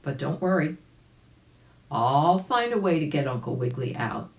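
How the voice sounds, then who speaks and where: A woman's voice: low-pitched, big pitch swings, normal speed, echoey room. A woman narrating a children's story as a volunteer reader, addressing young listeners directly.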